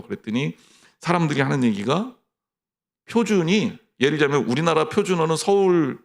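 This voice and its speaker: A middle-aged man preaching a sermon as pastor, lively, mixing mock dialogue with personal stories. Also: medium pitch, gravelly.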